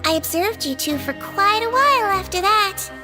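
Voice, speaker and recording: A woman voicing a small, gentle creature who works as a nurse, speaking softly with a sly, teasing kindness. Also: very high-pitched, expressive, moderate pace. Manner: soft, kindly, quietly teasing. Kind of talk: game voice acting. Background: music.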